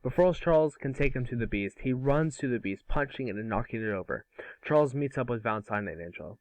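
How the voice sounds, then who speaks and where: A man's voice: medium pitch, animated, fast talker, a little mellow, no room sound, rough recording. A man narrating a script in an amateur online table read. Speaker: narrator and series co-creator.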